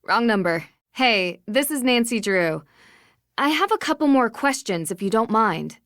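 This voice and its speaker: A woman's voice: fairly high pitch, expressive, unhurried, a bit bright, no room sound, studio quality. Polite young woman sleuth. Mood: polite, curious. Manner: courteous, inquiring. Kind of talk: video game voice acting.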